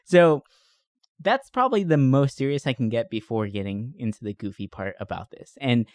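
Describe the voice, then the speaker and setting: Male podcast host, mid-pitched, animated and informal, joking freely, very dry recording. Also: normal speed, no room sound. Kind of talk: casual chat about movies.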